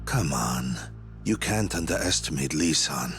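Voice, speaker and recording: A man's voice: extremely low pitch, animated, measured pace, fairly bright tone, soft background music, full character voice. An actor playing a polite, earnest male doctor delivering a grave message in a game dub. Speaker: doctor messenger.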